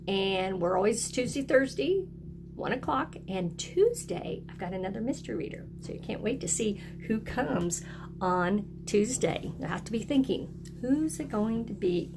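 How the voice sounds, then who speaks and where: A woman's voice: mid-range voice, background noise. A woman teacher talking to young children in a video lesson, encouraging them and inviting them to join in. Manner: encouraging, asks children to repeat along. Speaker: early-grade teacher.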